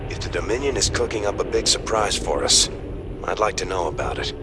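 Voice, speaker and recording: A man playing an earnest rebel officer in a video game, calmly and respectfully briefing his commander. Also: deep voice, fairly quick, heavy background noise. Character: loyal male rebel officer, earnest and steady. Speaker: second-in-command. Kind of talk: video game cutscene and mission dialogue. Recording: game audio over loud music.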